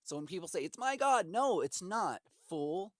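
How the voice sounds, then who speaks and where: A man on a call delivers a rambling, impassioned argument. His pitch moves around a lot as he stresses his points in an aggrieved, preaching manner. The sound is close and dry. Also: very animated, moderate pace, no echo. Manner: emphatic, aggrieved, preachy, acts out imagined quotes. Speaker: caller to customer service.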